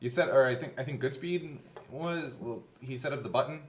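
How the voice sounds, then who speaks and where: A man chatting casually as a game master looking back on a show, telling it in an animated way and acting out reactions. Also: mid-range voice, fairly quick. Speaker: game master recalling a show's first season. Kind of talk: casual behind-the-scenes chat.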